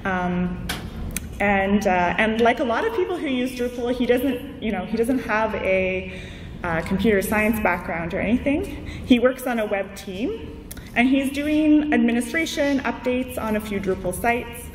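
A woman's voice: fairly high pitch, animated, measured pace. A woman giving an informal conference presentation as a keynote speaker, explaining in a conversational way through examples. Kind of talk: informal slide presentation to an audience. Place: large indoor conference room. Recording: echoey hall, distant mic, background noise.

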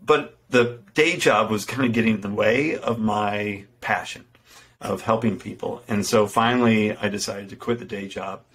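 A man's voice: fairly low pitch, steady tone, moderate pace, a bit bright. A middle-aged man, a podcast guest, telling his business story in a casual, earnest and self-deprecating way with frequent restarts. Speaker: podcast guest, fitness entrepreneur. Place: home room with window, indoors. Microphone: studio microphone in front.

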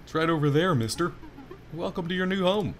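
A man's voice: a little high, expressive, brisk, voice pushed up. A man voicing a polite, earnest and gloomy private of the town guard in a game, deferential and ominous. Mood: apologetic.

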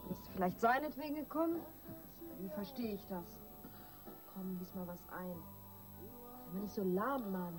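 Radio thriller role for a woman actor: impatient young woman, medium pitch, curt and dismissive, slangy delivery, prominent music behind. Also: normal speed, pauses often, lightly acted, rough recording. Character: brusque, impatient young woman brushing off her lover's worries. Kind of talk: German radio crime drama acting.

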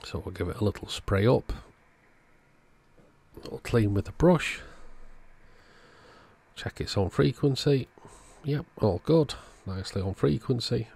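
A man calmly narrating a radio repair at his workbench in a matter-of-fact way. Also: medium pitch, a little slow, vocal fry, pauses often, slightly rough. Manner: relaxed, matter-of-fact commentary while working. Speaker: electronics repair channel host. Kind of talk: narrated bench repair tutorial. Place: workbench indoors.

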